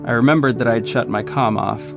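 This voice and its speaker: A man's voice: mid-range voice, fast talker, gravelly, natural voice. Man acting a young vigilante superhero over music. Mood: earnest narrating. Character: young male vigilante superhero, cocky, caring, teasing. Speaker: lead actor and narrator of an audio drama. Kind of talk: scripted first-person narration and acted dialogue. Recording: music behind the voice.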